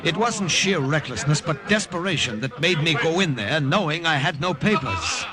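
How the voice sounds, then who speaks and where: A man's voice: a little high, lively intonation, normal speed, crowd noise, natural voice. A man playing an earnest, desperate wartime partisan agent in an old radio drama. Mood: tense, grave. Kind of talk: 1940s-50s radio drama acting and narration. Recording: hissy old radio recording.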